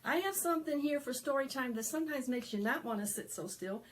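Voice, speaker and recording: A woman presenting storytime for young children, singing and reading aloud in an animated, sing-song way that coaxes them to join in. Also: high-pitched, fast. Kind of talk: interactive preschool storytime, singing and read-aloud.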